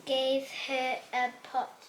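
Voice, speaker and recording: A woman's voice: high-pitched, monotone, slow. A female reader giving scripted storybook narration for children, recorded at home. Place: at home, indoors. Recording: echoey room, faint background noise.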